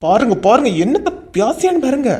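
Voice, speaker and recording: A domineering cartoon husband as a woman acts him scolds in a high voice with wide swings of pitch. He is angry, bossy and accusatory, speaking in Kanyakumari Tamil. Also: sing-song, brisk, warm tone. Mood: scolding, exasperated. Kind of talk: animated comedy drama dialogue.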